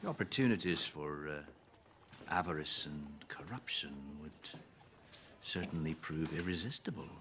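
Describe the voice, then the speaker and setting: An actor playing a courtly, skeptical Virginian statesman of the revolutionary era in a film drama, speaking in measured, formal phrases with a wry hesitancy. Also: a bass voice, animated, fairly bright tone, slight background noise, full character voice. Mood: troubled, wary. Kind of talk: period film drama dialogue.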